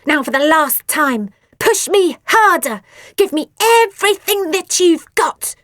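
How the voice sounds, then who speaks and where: A woman voicing a bratty, cruel girl who snarls shrill threats and orders. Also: slow, no room sound, clean studio sound. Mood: bossy.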